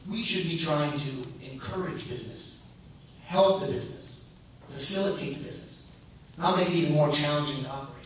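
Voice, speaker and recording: A man's voice: on the high side, a little fast, fairly bright tone. A man who is losing his voice, answering as a candidate in a debate. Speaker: mayoral candidate. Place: synagogue hall. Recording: echoey hall from far off, some noise.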